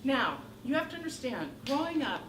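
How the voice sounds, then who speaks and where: A woman in her sixties from Northern California telling a wry, animated comic monologue on a theater stage. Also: high-pitched, normal speed. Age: 60s. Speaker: solo performer. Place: theater stage with live audience. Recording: echoey room from far off, noisy.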